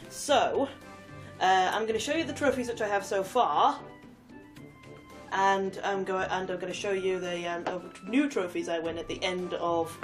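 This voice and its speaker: A woman with a stuffy nose from a cold, chatting and giggling as she reads game descriptions aloud. She sits a little back from the mic. Game music plays clearly behind her. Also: on the low side, far from the mic. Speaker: gaming YouTuber. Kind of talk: casual game commentary, reading trophy descriptions aloud.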